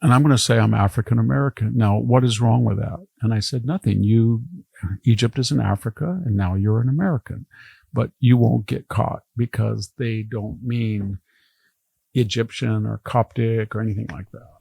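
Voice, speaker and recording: A man's voice: fairly low pitch, moderate pace, gravelly, darkish tone, husky, no room sound. An older man, a historian, talking casually in a podcast, wandering from one anecdote to the next. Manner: rambling, anecdotal storytelling. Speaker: historian guest.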